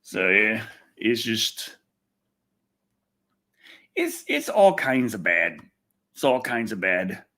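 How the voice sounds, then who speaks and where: A man hosting a casual livestream chat, rambling and halting, often repeating his phrases and speaking bluntly. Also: on the low side, wildly swooping pitch, bright, forceful tone. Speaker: podcast host.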